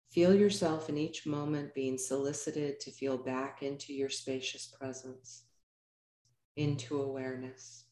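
A woman leading a guided meditation as a yoga nidra teacher, speaking calmly in measured, unhurried phrases with pauses. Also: very deep voice, echoey room, slight background noise.

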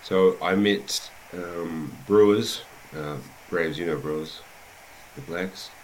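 Deep-voiced New Zealand man telling stories. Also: slight background noise, low-quality recording. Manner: soft, unhurried storytelling with frequent "um" pauses. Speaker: rugby head coach, guest. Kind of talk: casual interview, telling his life story.

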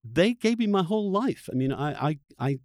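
A man's voice: a little high, very animated, moderate pace, darkish tone, no room sound, clean studio sound. A man hosting a conversational interview, earnest and emphatic, often repeating words and restarting as he gets worked up. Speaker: podcast host interviewing a guest.

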